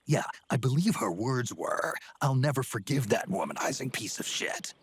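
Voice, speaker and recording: An actor playing a gruff, weary, sardonic older soldier in a video game, with an American accent and a gravelly rasp, terse and suspicious. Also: male voice, mid-range voice, animated, moderate pace, a little hiss or hum, natural voice. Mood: probing, disapproving. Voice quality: gravelly, raspy. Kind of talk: video game cutscene acting.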